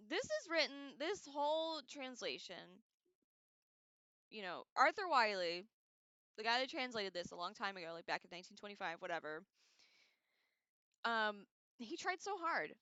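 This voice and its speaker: A woman hosting a livestream, casually reading aloud and breaking off into irreverent, sarcastic, slangy commentary in an expressive way. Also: medium pitch, dry recording, highs cut off. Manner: expressive, animated asides. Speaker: livestream host.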